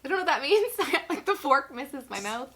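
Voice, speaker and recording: A woman in her twenties hosting a casual comedic vlog, giggly, animated and self-mocking, sometimes mock-solemn as she reads aloud. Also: high-pitched, moderate pace, faint music behind. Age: 20s. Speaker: vlog host reading own teenage writing. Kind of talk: casual comedic vlog chat with dramatic reading.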